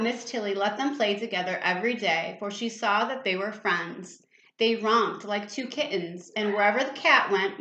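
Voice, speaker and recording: Woman reading a storybook in an echoey room. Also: medium pitch. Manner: expressive, with questions and exclamations for children. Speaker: teacher reading a picture book aloud. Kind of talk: storybook read-aloud with asides to young listeners. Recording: echoey room, slightly dull sound.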